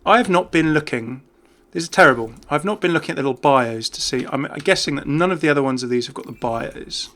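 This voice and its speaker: A man's voice: on the high side, expressive, fast talker, few pauses, a bit bright. A man giving casual, off-the-cuff game commentary as a YouTuber, chatting and thinking aloud as he goes. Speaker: gaming YouTuber.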